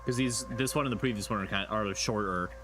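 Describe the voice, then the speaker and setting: A man's voice: fairly low pitch, fast, background noise. A man streaming casual reaction commentary, rambling and playful, often questioning what he watches. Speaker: streamer reacting to horror videos.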